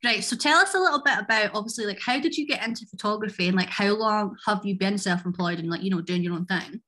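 A woman's voice: medium pitch, fast, bright, forceful tone. A woman hosting a podcast, asking questions in a reassuring, easy-going way. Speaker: podcast host. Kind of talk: casual podcast chat.

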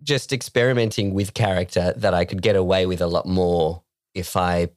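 A comedian in casual podcast chat, talking hesitantly with restarts and self-deprecating humour. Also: male voice, low voice, fairly even intonation, moderate pace, no room sound, clean studio sound.

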